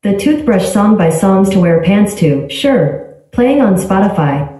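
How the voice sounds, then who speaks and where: A synthetic woman's voice from a smart speaker, giving even, polite, clipped replies. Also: medium pitch, measured pace. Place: small tiled bathroom. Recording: echoey bathroom, heard from a distance.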